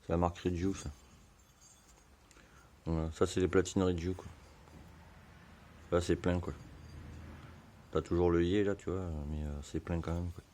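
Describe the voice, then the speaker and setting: A hoarse man giving a relaxed, slangy how-to explanation full of fillers, in a home garage. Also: deep voice, measured pace, long pauses. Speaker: motorbike hobbyist.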